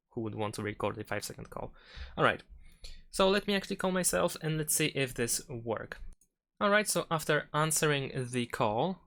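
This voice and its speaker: Clear-voiced man giving a tutorial. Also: medium pitch, a bit bright. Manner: instructional, unscripted step-by-step delivery. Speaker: tutorial host. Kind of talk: step-by-step spoken phone tutorial.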